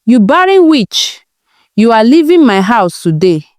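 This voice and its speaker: A woman's voice: mid-range voice, animated, unhurried, clean tone, no echo, lightly acted. A woman acts out a bullying husband in a storybook drama. He is furious and domineering, with sharply varied intonation in a dry recording. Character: furious, bullying husband throwing his weight around.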